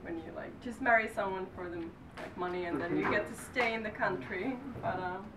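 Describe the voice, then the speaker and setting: A woman's voice: medium pitch, a little fast. Finnish woman searching for words, far off. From Finland. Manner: hesitant, searching for English words, laughing easily. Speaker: actress. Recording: echoey room from far off with noise.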